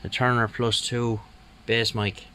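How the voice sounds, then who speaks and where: A man's voice: mid-range voice, little pitch movement, bright, forceful tone, clear, resonant tone. A man giving a casual, hesitant running commentary as he works on a repair, muttering to himself at times. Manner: unhurried, muttering, hesitant while working. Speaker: hobbyist radio restorer.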